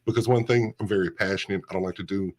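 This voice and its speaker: A man with a deep, low voice chats in a radio studio interview. He tells his story in an upbeat, animated way, clearly a seasoned radio personality. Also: fast. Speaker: radio host, guest.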